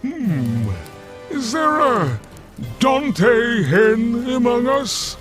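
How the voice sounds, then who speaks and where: An actor playing a gleeful, campy Satan in a comedic audio drama, theatrical, chatty and mock-courteous. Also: male voice, extremely high pitch, very animated, drawn-out words, fairly bright tone, faint music behind, heavily acted. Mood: gleeful, grand. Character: gleefully campy male Satan, punning corporate devil host.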